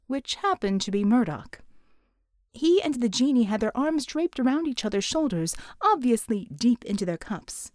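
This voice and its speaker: A woman's voice: fairly high pitch, a little mellow, no echo, studio quality. A woman reading an audiobook, narrating fiction expressively from a script.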